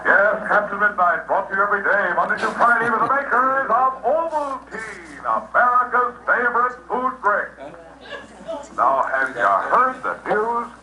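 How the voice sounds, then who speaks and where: A man's voice: high voice, sing-song, a little slow, pushed and bright. A male radio announcer delivering a 1940s sponsor message with polished, enthusiastic sales patter, heard on an old radio broadcast. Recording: old radio broadcast, roomy with faint noise.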